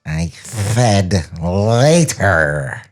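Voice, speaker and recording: A man playing a flustered, short-tempered cartoon studio celebrity with halting, uneasy politeness in a comic table read. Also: deep voice, big pitch swings, soft background music, pitched below the performer's own voice, heavily acted. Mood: angry.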